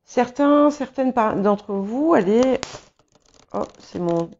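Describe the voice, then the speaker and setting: A woman whose first language is English gives a tarot reading slowly and haltingly, with many fillers and restarts. Her voice is mellow and unhurried. The recording sounds a little dull and lo-fi. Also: fairly high pitch, animated. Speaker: tarot reader. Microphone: small clip-on mic.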